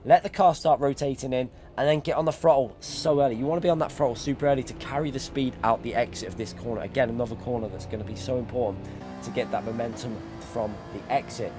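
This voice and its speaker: A man with a medium-pitched voice hosts a racing game tutorial at a brisk pace. His voice is going from a cold as he explains each step in an instructional way. Faint music plays behind him. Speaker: sim racing YouTuber, host. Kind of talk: tutorial commentary over racing game footage.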